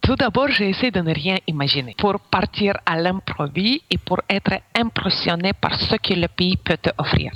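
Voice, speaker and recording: A middle-aged woman from Ukraine with a clear Ukrainian accent, speaking French as a second language. She talks in an unhurried, searching way, pausing often to find the right word. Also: on the low side, animated, fairly bright tone, dull audio. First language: Russian. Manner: halting French with pauses and searching for words. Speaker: radio guest. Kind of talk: casual radio interview.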